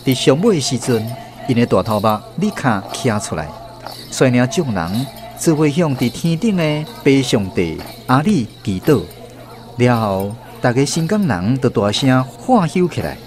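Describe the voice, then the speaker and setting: A male narrator tells a radio drama with a Taiwanese accent. His storytelling is dramatic and animated, moving widely in pitch over prominent background music. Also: unhurried.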